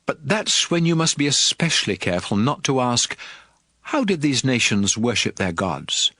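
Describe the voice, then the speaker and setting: A man playing a solemn prophet and lawgiver, exhorting his people in a measured, emphatic dramatized scripture reading. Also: medium pitch, very animated, pushed and bright, no echo.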